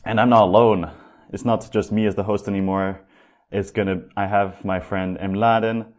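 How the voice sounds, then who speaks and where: A young man hosts a podcast in a casual, upbeat way, loose with his words and now and then swearing. His voice sits very low. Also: level tone, moderate pace. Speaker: podcast host.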